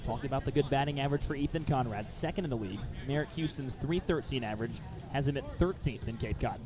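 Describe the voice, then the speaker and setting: A man's voice: medium pitch, fast talker, crowd noise. A man calling live baseball play-by-play for radio, mixing clipped phrases with detailed description.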